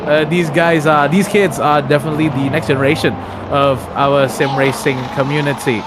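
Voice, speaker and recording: Chatty Indonesian sim racing commentator. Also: male voice, on the high side, steady tone, moderate pace, background noise. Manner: rambling, self-correcting, many uh fillers. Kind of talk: live remote race commentary. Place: at home.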